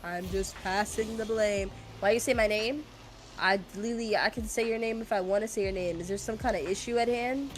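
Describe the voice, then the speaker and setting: A woman's voice: mid-range voice, moderate pace. A woman streaming a game and chatting casually, rambling and hesitant, laughing often and sounding a bit congested. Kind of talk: casual gaming stream chat.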